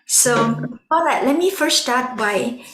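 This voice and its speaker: Measured woman in an echoey room. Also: a little high, animated, a bit bright. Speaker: volunteer speaker. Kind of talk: prepared online sharing talk.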